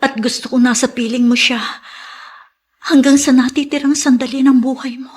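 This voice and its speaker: A woman's voice: sits high, steady tone, normal speed, slightly rough. An actress playing a tender, forgiving mother who longs for her child, earnest and emotional in melodrama style. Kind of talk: Filipino melodrama acting.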